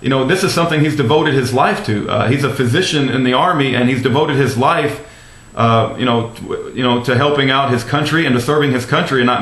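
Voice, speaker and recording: A roomy, echoing recording of an American man on a phone call. His pitch is average for a man. He speaks as an earnest, courteous advocate, often hesitating and circling back over his phrases. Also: a bit bright. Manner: hesitant, repetitive, polite. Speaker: activist caller phoning a congressman's office.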